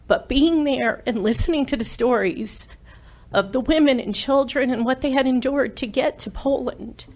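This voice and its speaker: A woman's voice: sits high, moderate pace, a little mellow. A woman from the United States speaking informally as a member of the public at an indoor meeting. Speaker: former resident speaking at meeting. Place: indoor township council meeting.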